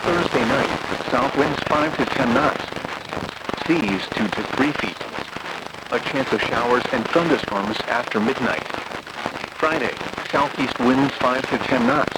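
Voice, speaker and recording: A synthetic-sounding man reading a scripted automated weather bulletin in a flat, methodical way over a noisy radio broadcast. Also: medium pitch, a little slow.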